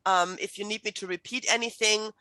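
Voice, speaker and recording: A woman's voice: medium pitch, fairly even intonation, a little fast, sharp tone, no room sound. A middle-aged woman from Germany, German native, giving a chatty online writing workshop with many fillers and restarts. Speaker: writing instructor. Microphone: video call.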